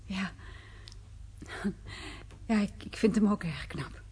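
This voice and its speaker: A uneasy, reserved wife in a radio play. Her voice is mellow and very husky, and she speaks haltingly at a medium pitch. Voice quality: husky, soft-toned. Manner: hesitant, halting. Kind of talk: naturalistic radio drama acting.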